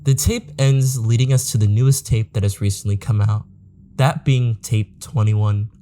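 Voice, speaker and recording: A man giving a measured, matter-of-fact scripted explainer narration as host of a video series. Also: on the low side, steady tone, mellow, slight background noise.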